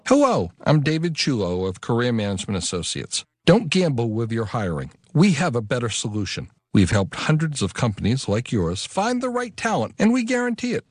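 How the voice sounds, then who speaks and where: Man reading a lively radio ad. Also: medium pitch, fairly quick, few pauses, dry recording, studio quality. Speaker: ad announcer. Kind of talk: radio ad read.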